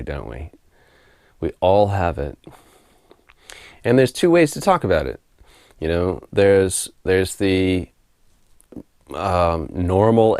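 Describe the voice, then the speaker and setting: A middle-aged man with a hoarse voice from a head cold, talking to camera at home in a relaxed, rambling way, often repeating words as he gathers his thoughts and laughing softly now and then. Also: sits low, no echo, studio quality. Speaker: spiritual author and video host. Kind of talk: casual unscripted monologue to camera. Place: home room.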